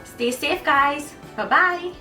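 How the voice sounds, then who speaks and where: A woman's voice: high-pitched, lively intonation, slow pace, bright, forceful tone, faint music behind. A woman presenting a recipe for children in an instructive, friendly demonstration style, speaking directly to them.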